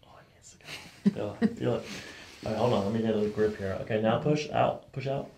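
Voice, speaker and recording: A soft-spoken man working as a chiropractor, calmly coaching someone step by step in a hushed ASMR style. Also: on the low side, fast, gravelly, slight background noise. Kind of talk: hushed ASMR treatment instructions. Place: small carpeted treatment office, indoors. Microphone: boom-mounted microphone close to the treatment table.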